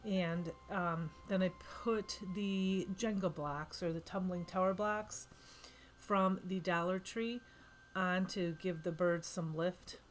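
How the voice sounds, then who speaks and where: A woman's voice: lowish voice, fairly even intonation, slow, slight background noise. A woman with a cold, losing her voice, giving a rambling, chatty voice-over of a craft project, correcting herself often. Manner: chatty, rambling, self-correcting with frequent ums. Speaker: craft vlogger.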